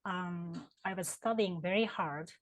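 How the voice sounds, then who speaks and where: Japanese woman, lowish voice, thinks out loud with lots of ums, dry close sound. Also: unhurried, no room sound. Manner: thoughtful, with many fillers and restarts. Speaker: HR consultant and career counselor. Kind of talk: interview answers.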